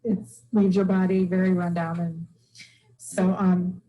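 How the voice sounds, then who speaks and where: Brief: middle-aged woman, warm mellow tone, chatty and halting, sharing news at a meeting. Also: on the low side, flat delivery, rough recording. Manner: chatty, halting, many ums. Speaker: club member sharing news. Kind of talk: casual personal story. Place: club meeting room. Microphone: handheld microphone.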